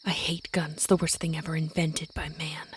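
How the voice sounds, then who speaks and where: An actress playing an older, hardened witch who leads and protects her coven, speaking in a grave, measured, commanding way in an audio drama. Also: low voice, flat delivery, a little hiss or hum, natural voice. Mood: hushed, stern.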